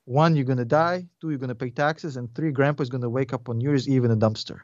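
A man's voice: mid-range voice, quick pace, a little mellow, dry recording. Russian accent guy, 40s, guest on a chat, rambly and blunt, dull dry audio. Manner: rambling, blunt, swears, jokes. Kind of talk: casual interview chat.

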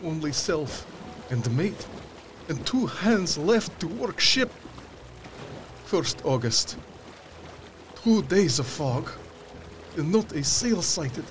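An actor playing a weary, fearful sea captain in an audio drama, reading his log in grave, halting, clipped phrases. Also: fairly high pitch, very animated, faint music behind. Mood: grim, weary. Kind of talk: dramatic first-person log narration.

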